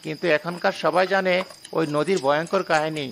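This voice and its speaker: Man acting a creaky, high-voiced village cart driver. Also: fairly even intonation, a little fast, fairly bright tone, slight background noise. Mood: respectful, ominous. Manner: respectful, cautioning rustic.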